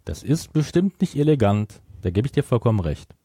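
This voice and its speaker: A man co-hosting a podcast in casual chat, measured and conciliatory as he puts his view. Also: mid-range voice, big pitch swings, dark tone, slightly rough, no echo, clean studio sound. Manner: conciliatory, measured, concedes points. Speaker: podcast co-host. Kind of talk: casual chat reviewing audio drama.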